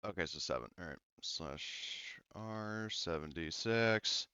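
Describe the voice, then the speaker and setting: A man speaking casually and haltingly as the game master of a tabletop roleplaying game, thinking aloud. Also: sits low, lively intonation, dull audio, very noisy.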